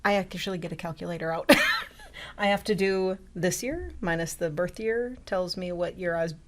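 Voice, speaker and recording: A woman's voice: fairly low pitch, sing-song, normal speed, fairly bright tone. Laughing woman in her fifties asking questions. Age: 50s. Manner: laughing, steering the talk with questions. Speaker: co-host. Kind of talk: casual chat.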